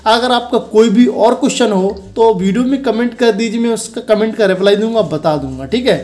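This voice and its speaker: High-pitched young man giving a phone tutorial. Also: fairly quick, darkish tone. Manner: instructional, addressing viewers directly, with repeated check-in tags. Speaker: host of a phone tutorial channel. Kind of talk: casual step-by-step tech tutorial voice-over.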